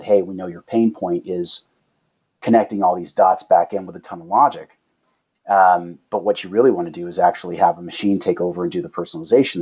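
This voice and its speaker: Male founder as interview guest, low slightly rough voice, explanatory, checks in with rhetorical questions, muffled lo-fi sound. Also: a little mellow. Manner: uses rhetorical questions and tag "right?". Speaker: startup founder and chief executive, guest.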